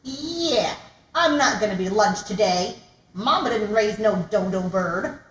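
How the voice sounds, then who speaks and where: A woman's voice: mid-range voice, expressive, unhurried, a bit bright. A woman voicing a cheeky, mock-innocent giraffe in a children's puppet show, teasing playfully, recorded at a distance in an echoey room. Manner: teasing, playfully mishearing rhymes. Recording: roomy, distant mic, noisy.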